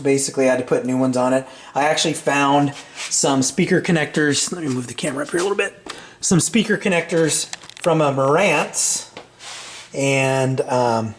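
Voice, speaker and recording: A middle-aged man chatting casually and unscripted as a video host, in a halting, rambling way with hesitant phrasing. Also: mid-range voice. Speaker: vintage audio repair channel host. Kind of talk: casual unscripted product chat. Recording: roomy, echoey sound.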